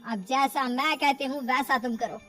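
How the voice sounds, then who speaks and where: A firm, concerned middle-aged mother put on by a woman storyteller in a cartoon family tale. Her voice is high and she chides and reasons, with music behind. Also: normal speed, sharp tone. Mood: hurt, firm. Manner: chiding, reasoning.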